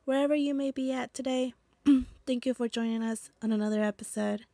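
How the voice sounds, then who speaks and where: A young woman hosts a podcast on her own, chatting along with plenty of fillers and restarts. Allergies leave her voice nasal and a little rough. The recording is dry and close, with no room sound. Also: a little high, steady tone, a little fast, mellow, no echo. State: stuffy from allergies. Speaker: podcast host. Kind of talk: casual solo podcast chat.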